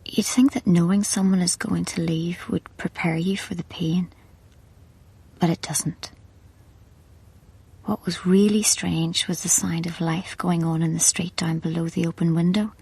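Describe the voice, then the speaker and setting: An actress in a radio play voicing a loving, bereft woman with a hushed, breathy, tender delivery. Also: on the low side, flat delivery, unhurried, heavily acted. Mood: quiet grief. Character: grieving young woman, loving, quietly bereft. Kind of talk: radio play acting, intimate dialogue and narration.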